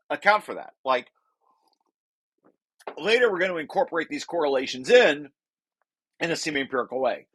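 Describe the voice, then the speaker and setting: A man presenting a technical read-through, shifting into informal, halting explanations and often correcting himself. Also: medium pitch, fairly bright tone.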